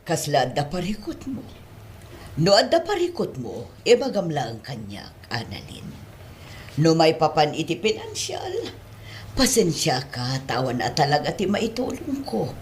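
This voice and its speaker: A motherly madam acted by a woman in an Ilocano radio-style drama. Her voice is low but very melodic, gently probing, with noticeable ambient noise around it. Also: huge pitch swings, a little slow, heavily acted. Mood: concerned. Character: concerned, motherly female superior addressed as ma'am. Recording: clear background ambience noise.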